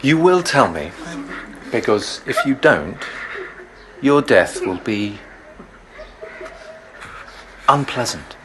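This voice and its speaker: An actor playing a ruthless master of the palace guard in an audio drama, measured, formal and coldly threatening. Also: male voice, mid-range voice, sing-song, noisy background.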